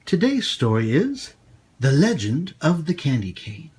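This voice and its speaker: A man's voice: fairly high pitch, huge pitch swings, a little slow, a little mellow, a little hiss or hum. A man hosting a children's story time, reading aloud expressively and speaking directly to young listeners with exclamations. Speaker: children's storytime host and reader.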